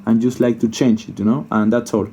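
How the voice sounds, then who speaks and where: Male tutorial presenter, soft mellow tone, informal and hesitant, thinks out loud as he demonstrates. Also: mid-range voice, level tone, brisk, breathy edge. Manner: informal, hesitant thinking aloud, repeats himself, mild swearing. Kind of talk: casual unscripted screen-share coding tutorial.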